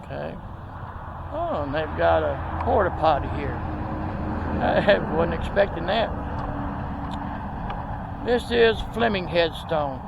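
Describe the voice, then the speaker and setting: A man's voice: fairly high pitch, lively intonation, slow, creaky, pauses often. A middle-aged man with a Texan accent gives a folksy, easygoing walking tour outdoors, filming with a handheld tripod camera. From Texas. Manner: folksy, easygoing, with frequent fillers. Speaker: video host. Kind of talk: casual walking tour narration. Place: outdoors at a rural roadside cemetery, windy. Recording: outdoor wind and road traffic noise.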